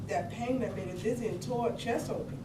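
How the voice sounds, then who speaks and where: An earthy sister tells her bluesy tale in lyrical lines, acted by a woman at a staged reading. The voice is medium in pitch. It is recorded at a distance in an echoey room with loud noise. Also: fairly quick, lightly acted. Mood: sorrowful. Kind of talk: staged reading of a poetic play.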